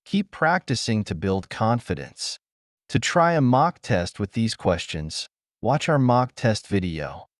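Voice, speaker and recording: Lively-voiced man asking exam questions. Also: on the low side, dry recording, clean studio sound. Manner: formal, measured questioning. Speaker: examiner and channel host.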